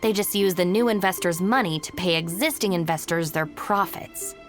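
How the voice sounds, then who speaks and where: A woman playing a practical, no-nonsense young shrine maiden in a video game, speaking in a brisk, matter-of-fact way. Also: mid-range voice, close to an everyday voice.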